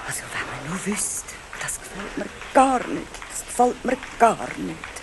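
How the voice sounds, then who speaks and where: A woman's voice: mid-range voice, sing-song, unhurried. An actress playing a worried, scolding old grandmother with a Swiss German accent in an audio drama. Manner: fretful, admonishing. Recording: noisy station ambience, old cassette.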